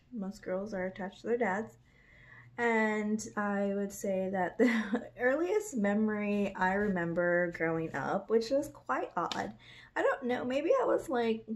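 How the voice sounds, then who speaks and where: A woman tells a personal story straight to camera. Her voice sits at an ordinary middle pitch. She talks loosely and wanders, packing her sentences with "like" and filler words. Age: 30s. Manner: rambling, many "like" fillers, laughs a lot. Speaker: vlogger.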